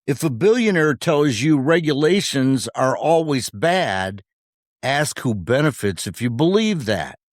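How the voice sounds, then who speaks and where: A man's voice: medium pitch, big pitch swings, unhurried, no echo, clean studio sound. A man co-hosting a podcast, delivering scripted commentary in short, punchy rhetorical phrases with wry humour. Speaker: podcast co-host.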